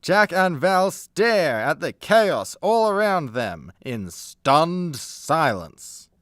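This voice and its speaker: A man narrates a comedy radio play from a script. His delivery is animated and unhurried, in a fairly high voice recorded dry and close. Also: no echo.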